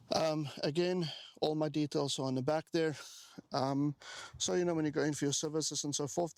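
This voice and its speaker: Gravelly South African man in his forties. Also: a little high, darkish tone, no room sound. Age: 40s. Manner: chatty, with frequent ums and restarts. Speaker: motorbike owner showing his build. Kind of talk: casual walkaround talk to camera.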